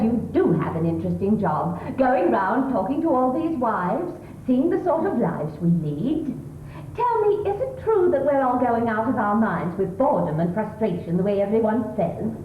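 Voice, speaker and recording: Posh, fluttery English wife played by a woman, stage comedy, very animated, needling and exclamatory; tinny, roomy recording. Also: on the high side, unhurried, a little hiss or hum. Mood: chatty, needling. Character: chattering middle-class English wife, anxious, fluttery, needling her husband. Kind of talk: theatrical stage comedy acting. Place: theatre stage.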